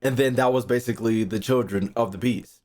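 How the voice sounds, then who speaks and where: A man's voice: medium pitch, steady tone, fairly quick, a little mellow. A young American man streaming a game, chatting casually with slang and swearing, often rambling and repeating himself. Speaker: gaming streamer. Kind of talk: casual, profane game reaction chat.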